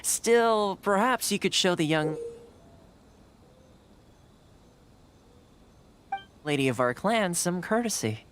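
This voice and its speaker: A man playing an easygoing, smooth-talking young fixer in a video game, genial and coaxing. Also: high voice, very animated, moderate pace, fairly bright tone, a little hiss or hum. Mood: placating. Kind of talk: video game character acting.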